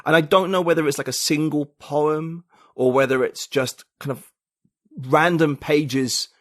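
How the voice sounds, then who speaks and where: A man's voice: a little high, fairly even intonation, measured pace, fairly bright tone, no room sound, studio quality. A man, a podcast guest talking reflectively and searching for his words in casual conversation.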